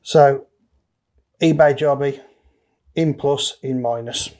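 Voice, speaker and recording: A man presents a hands-on DIY tutorial in a casual, matter-of-fact way. His voice sits at a middling pitch, and he talks through each small step as his hands do the job. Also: steady tone, slow pace, a little mellow. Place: indoor electronics workbench.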